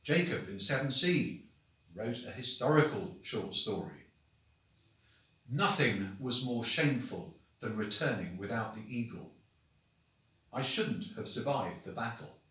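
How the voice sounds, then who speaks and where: A man reads short stories aloud like a headmaster addressing his pupils. His delivery is expressive and animated, with mid-range pitch and deliberate, dramatic phrasing. The room is strongly reverberant and he sounds set back from the microphone. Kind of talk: expressive storybook reading to children. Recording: very echoey room, distant microphone.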